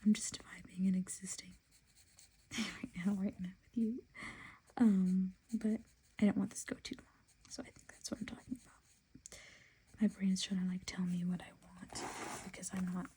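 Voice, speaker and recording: Softly whispering woman designer. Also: mid-range voice, level tone, normal speed, pauses often, husky. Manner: soft whisper, chatty and self-talking. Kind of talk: whispered casual chat while sketching designs.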